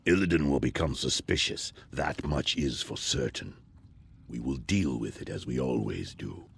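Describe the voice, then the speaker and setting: A man voicing a grave old alien sage in a fantasy video game, gravelly, solemn and formal. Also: normal speed, exaggerated voice. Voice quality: very deep, gravelly. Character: grave, deep-voiced old alien sage leading a secret rebellion.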